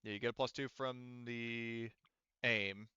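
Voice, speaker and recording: Male game master, casual narration, sweary, joking, smooth clear tone, forceful, dry sound. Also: mid-range voice, steady tone, unhurried, no room sound, highs cut off.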